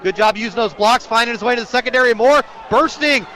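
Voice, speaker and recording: A man's voice: very high-pitched, fast talker, bright, forceful tone, a faint crowd. An American man doing live radio play-by-play of a football game outdoors, describing the action as it unfolds. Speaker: play-by-play sports announcer.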